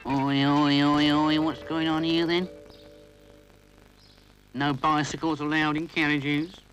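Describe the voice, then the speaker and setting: A man's voice: on the high side, flat delivery, takes it slowly, a bit bright, lo-fi sound, soft background music, voice pushed up. A man voicing an officious, grumbling train guard who scolds the toys. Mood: scolding.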